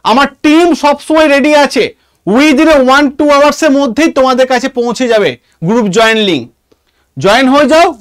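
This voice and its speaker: A man with an Indian Bengali accent teaching an exam coaching class in a lively, emphatic way, repeating key phrases and questions and often checking that students follow. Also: very high voice, no echo. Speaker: history teacher for exam preparation.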